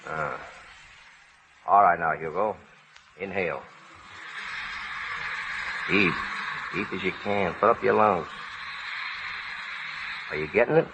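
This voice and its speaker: An actor playing a gruff, menacing middle-aged man out for revenge in a 1930s radio drama. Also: sits low, slow, long pauses, sharp tone, a little hiss or hum, exaggerated voice, poor audio. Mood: gruff, commanding. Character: bitter, vengeful middle-aged tough guy running a gas tank. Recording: old 1930s radio recording with music.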